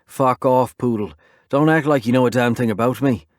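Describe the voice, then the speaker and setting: A man voicing a furious young male fighter with a thick accent, snapping and shouting at a rival. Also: medium pitch, normal speed, no echo, studio quality, pitched above the performer's own voice, natural voice. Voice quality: thick heavy accent when angry. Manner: shouting, snarling.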